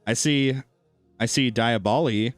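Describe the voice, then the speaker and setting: A man's voice: mid-range voice, unhurried, soft background music. A man streaming a game and chatting casually with viewers, laid-back and thinking aloud, with a soothing voice. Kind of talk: casual gameplay commentary with chat.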